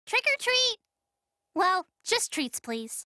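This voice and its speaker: A woman's voice: very high voice, big pitch swings, slow, sharp tone, no room sound, studio quality, heavily acted. An actress playing a perky, playful girl in a video game, bubbly and sing-song. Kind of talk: scripted video game character voice lines.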